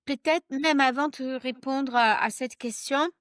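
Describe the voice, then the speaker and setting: A woman guest author talking in a casual interview, animated and hesitant, laughing often and slipping into English. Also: high-pitched, a little slow, no room sound, studio quality.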